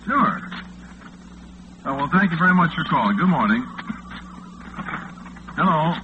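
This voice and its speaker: Lonesome, affable American graveyard-shift disc jockey, 1940s radio drama, chatty and hesitant, animated pitch, narrow phone-like sound. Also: male voice, a little slow. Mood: wry, chatty. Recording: muffled, noisy 1940s radio recording, phone-like band.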